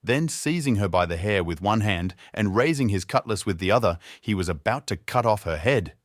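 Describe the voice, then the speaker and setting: A man's voice: lowish voice, expressive, moderate pace, dry recording, clean studio sound. A man reading a scripted fairy tale as a storyteller.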